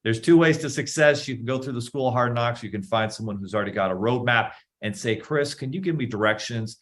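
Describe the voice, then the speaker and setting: A man's voice: medium pitch, brisk, a bit bright. A man hosting a podcast, upbeat and hyping the listeners up. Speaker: podcast host. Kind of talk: casual interview talk.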